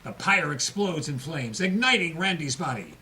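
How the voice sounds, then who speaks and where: A man's voice: fairly high pitch, animated, normal speed, pushed and bright, a little hiss or hum. A man co-hosting with scripted, theatrical narration and wry commentary. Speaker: co-host and narrator.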